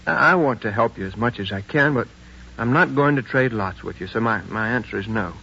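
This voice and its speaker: Hesitant, stubborn landowner on muffled old radio. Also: male voice, mid-range voice, animated, brisk, vocal fry, sharp tone. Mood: polite, firm. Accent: American. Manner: hesitant, repeats words, deferential. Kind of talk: 1950s radio drama acting. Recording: muffled, noisy 1950s radio recording.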